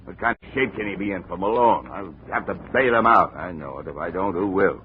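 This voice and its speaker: Blustery deep-voiced boxing manager, played by a man. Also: a little fast, natural voice. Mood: grumbling, rambling. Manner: blustery, rambling, jumps from shouting to muttering. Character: gruff, excitable, rambling boxing manager, a grumbling middle-aged man. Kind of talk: 1950s radio detective drama acting. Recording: muffled, noisy 1950 radio recording.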